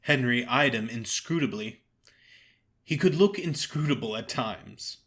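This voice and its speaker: A man narrating a dramatized story as a solo performer, in a measured and wry manner. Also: medium pitch, lively intonation, a bit bright.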